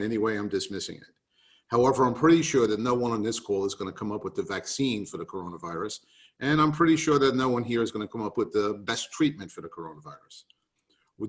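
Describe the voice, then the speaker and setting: Low-voiced New York man rallying agents. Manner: emphatic, rallying, repeats key points. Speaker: webinar presenter. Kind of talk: motivational business talk. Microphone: video call.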